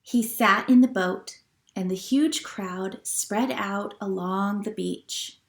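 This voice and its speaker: A woman leading a children's church storytime at home, talking gently to young listeners with questions and reading aloud. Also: medium pitch, takes it slowly, reverberant. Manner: gentle teacher talk, asking children questions.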